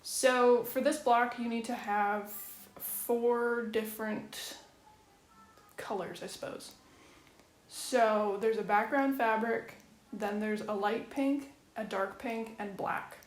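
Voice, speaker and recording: A woman's voice: fairly high pitch, fairly even intonation, slow. A woman making a casual quilting video at home, talking in a chatty, wry, matter-of-fact way. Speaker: quilting vlogger. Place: home kitchen. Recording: echoey room with faint ambience.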